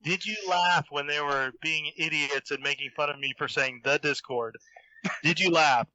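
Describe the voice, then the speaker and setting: Bright-voiced man, teasing, slightly muffled. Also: fairly high pitch, fairly even intonation, moderate pace, no room sound. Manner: teasing, mock-indignant, dry ribbing. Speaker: podcast guest. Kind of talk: casual banter. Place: remote group voice call.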